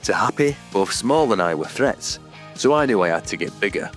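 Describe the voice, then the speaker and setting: A man's voice: fairly low pitch, animated, normal speed, a bit bright, faint music behind. A man playing a proud storybook strongman, swooping delivery. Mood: proud, aggrieved. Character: proud, earnest storybook strongman obsessed with strength. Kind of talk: mockumentary interview in audio drama.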